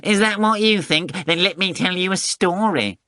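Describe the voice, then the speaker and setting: A man's voice: sits high, moderate pace, sharp tone, no echo, clean studio sound, pitched above the performer's own voice. A man voicing a boastful, theatrical talking tomcat with a pompous, mock-dignified manner. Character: boastful talking male cat, sly and theatrical.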